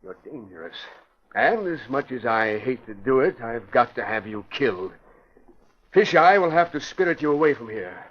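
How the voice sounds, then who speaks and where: A man playing a haughty, excitable criminal mastermind with a grandiose manner in an old radio drama. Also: mid-range voice, normal speed. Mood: menacing. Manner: grandiose, pompous boasting. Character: haughty, panicky male criminal mastermind boss. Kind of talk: classic radio drama acting. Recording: dull vintage radio broadcast recording.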